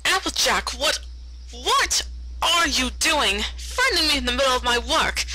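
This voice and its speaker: A woman's voice: high voice, animated, unhurried, bright, forceful tone, slight background noise, pitched above the performer's own voice, heavily acted, rough recording. A woman voicing a fussy, dramatic, genteel female fashion-designer pony in a theatrical, refined manner for a story reading. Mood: indignant. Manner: theatrical, refined, gushing endearments.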